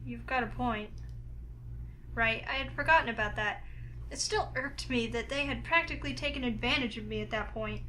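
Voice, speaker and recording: High, bright young heroine, voiced by a woman. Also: normal speed, a little hiss or hum, low-quality recording. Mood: wary, pensive. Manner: expressive, flustered. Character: young woman heroine, easily flustered, wary, inwardly sarcastic. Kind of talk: first-person visual novel narration and dialogue.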